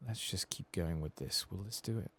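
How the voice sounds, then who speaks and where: A man giving soft-spoken, sometimes whispered ASMR game commentary, muttering casually and swearing to himself. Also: low-pitched, moderate pace, breathy edge. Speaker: ASMR gaming streamer.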